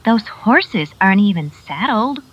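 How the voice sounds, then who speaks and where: A woman's voice: medium pitch, unhurried, husky, highs cut off. A woman playing an anxious, emotional young frontier mother in a western radio drama. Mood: frantic, worried. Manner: emotional, expressive.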